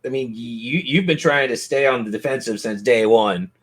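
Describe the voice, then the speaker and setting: A man's voice: medium pitch, normal speed, a bit bright, breathy edge. A man acting as game master, narrating and refereeing a tabletop fantasy game in colloquial language and speaking directly to his players.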